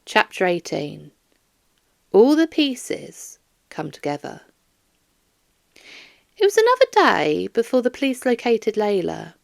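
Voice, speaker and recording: A woman's voice: medium pitch, sing-song, slow, creaky, long pauses, no room sound, clean studio sound. A woman reading scripted narration for a children's mystery audiobook.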